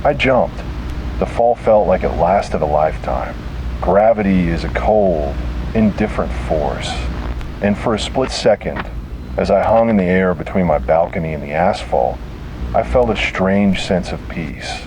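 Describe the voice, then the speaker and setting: Deep male voice, scripted horror narration, dramatic short phrases, noticeable background noise. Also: expressive, a little slow.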